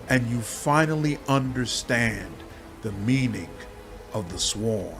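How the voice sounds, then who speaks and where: Slow, dramatic male game narrator. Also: mid-range voice. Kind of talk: scripted dark fantasy game narration.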